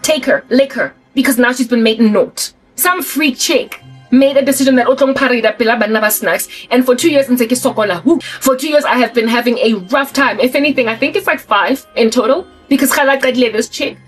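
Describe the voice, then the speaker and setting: A woman's voice: a little high, fairly quick, faint music behind. A South African woman in her forties, talking casually to camera in an emphatic, colloquial rant that repeats and restarts words. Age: 40s. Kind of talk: casual ranting monologue to camera.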